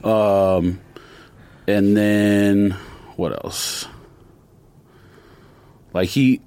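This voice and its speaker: A man's voice: on the low side, little pitch movement, drawn-out words, warm tone, clear, resonant tone. A man hosting a podcast, chatting casually in a loose, slangy way with lots of fillers. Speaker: podcast host. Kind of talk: casual chat.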